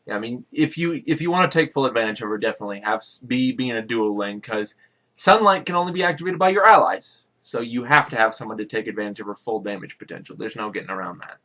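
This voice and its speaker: A man's voice: mid-range voice, expressive, fast talker, sharp tone. A man co-hosting a gaming podcast in casual chat, blunt and joking with dismissive asides. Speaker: podcast co-host.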